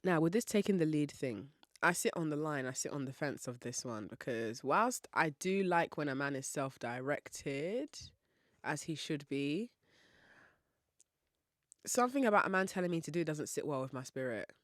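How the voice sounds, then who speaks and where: A woman's voice: low voice, lively intonation, moderate pace, no echo, studio quality. A young woman from hosting a solo podcast in a chatty, playful way, often laughing and asking listeners questions. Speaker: podcast host.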